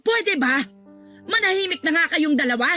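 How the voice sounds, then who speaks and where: An actress playing a strict, hot-tempered middle-aged mother in a radio drama, blunt, excitable and quick to scold. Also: very high-pitched, faint music behind, full character voice. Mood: angry scolding.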